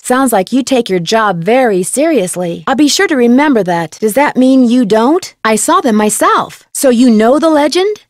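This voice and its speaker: A woman playing a curious, upbeat young female detective in a video game, speaking clearly in a polite, friendly, inquisitive way. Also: on the high side, no echo, studio quality, natural voice. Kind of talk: scripted video game voice acting.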